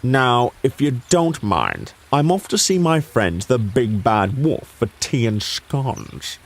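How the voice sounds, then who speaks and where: Man playing a sly, slow-drawling storybook fox. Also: medium pitch, sing-song, full character voice. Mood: feigned indifference. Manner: drawling, sardonic, unhurried. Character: sly, dry, patient male fox feigning indifference. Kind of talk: children's audio story character acting.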